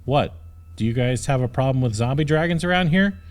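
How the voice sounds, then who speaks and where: A man's voice: mid-range voice, brisk, close to an everyday voice. A man voicing a cocky, flippant, bumbling knight with a breezy, wisecracking manner in a comic fantasy audiobook. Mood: joking.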